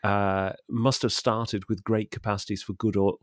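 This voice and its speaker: A man with a lowish voice chats about literature as a podcast host. His manner is wry and discursive, moving easily between comment and quotation. The recording is very dry, without room sound. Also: level tone, moderate pace, dry recording. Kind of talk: conversational literary discussion, quoting passages.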